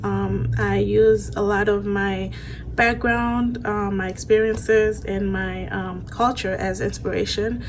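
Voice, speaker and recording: A woman from Haiti, an author in her thirties, talking casually to a phone camera in a room with bookshelves, pausing often with ums and you-knows. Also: medium pitch, little pitch movement, a little hiss or hum. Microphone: phone camera at arm's length.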